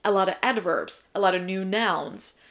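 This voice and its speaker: A woman's voice: mid-range voice, normal speed, fairly bright tone. A young woman from Turkey, a native Turkish speaker, talking casually and emphatically to viewers as an English teacher hosting a vlog. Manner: chatty, emphatic, self-described yapper. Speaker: English teacher and video host. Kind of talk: casual vlog chat, answering viewer questions.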